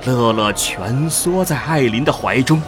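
A man narrates a scripted audio drama. His mid-pitched voice moves expressively up and down. Light music sits behind the storytelling. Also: lively intonation, a little slow.

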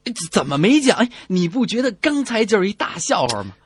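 A woman co-hosting a comedy radio show, trading scripted banter in an animated, bickering manner. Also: medium pitch, brisk, slightly rough, dry recording. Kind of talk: scripted comic banter between radio hosts.

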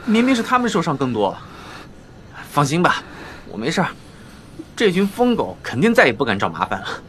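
A man's voice: fairly high pitch, huge pitch swings, fairly quick, a bit bright, slight background noise. A man acting in a radio drama as a meek, poor young shop clerk with a hesitant, self-effacing way of talking. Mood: indignant, brash.

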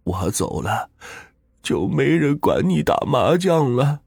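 A man voicing a frail dying old woman in a spoken ghost story, talking slowly in a trailing elderly way. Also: lowish voice, animated, husky, no room sound, clean studio sound, pitched above the performer's own voice. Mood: tender.

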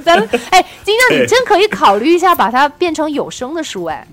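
High female voice, very varied intonation, effusive casual chat, podcast co-host, faint music behind. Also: sing-song, fast, fairly bright tone.

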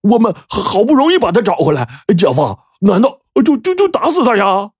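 A man's voice: sits high, huge pitch swings, normal speed, husky, no echo, clean studio sound, voice pushed up, exaggerated voice. A man voicing a dim-witted young male sidekick who stammers and gets flustered in a spoken story. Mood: anxious pleading.

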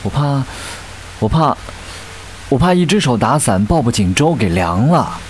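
An actor in a radio drama playing an earnest, lovesick young singer. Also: male voice, medium pitch, big pitch swings, a little slow. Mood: eager, flustered. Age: young adult. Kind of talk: Chinese radio drama acting. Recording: noisy hiss and vehicle ambience.

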